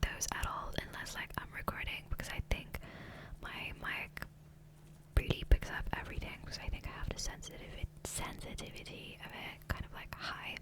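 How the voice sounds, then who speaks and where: Whispering woman, soft ASMR ramble. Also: a little fast. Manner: rambling, hesitant, self-interrupting. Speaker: ASMR gaming video creator. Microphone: close, sensitive USB microphone.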